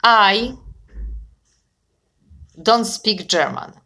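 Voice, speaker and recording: Slow, encouraging woman. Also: a little high. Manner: slow, encouraging, asks questions and corrects gently. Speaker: conversation partner. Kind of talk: casual conversation practice.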